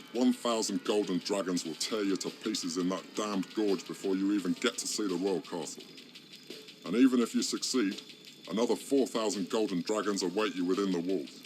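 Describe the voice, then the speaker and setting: A man voicing an old one-eyed orc warlord, gruff and mocking, in a game cutscene. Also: low voice, lightly acted.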